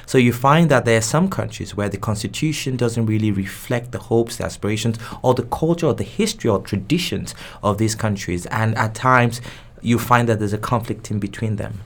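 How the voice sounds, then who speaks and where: Low-voiced man with Yoruba roots, halting answers. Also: gravelly. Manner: thoughtful, with frequent restarts and repeated words. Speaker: guest expert. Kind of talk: interview answers.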